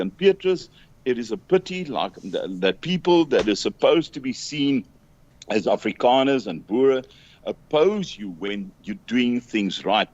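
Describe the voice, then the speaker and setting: A South African man talking earnestly as an interview guest, emphatic but halting in his delivery. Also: medium pitch. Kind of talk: earnest conversational talk. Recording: dull lo-fi call audio.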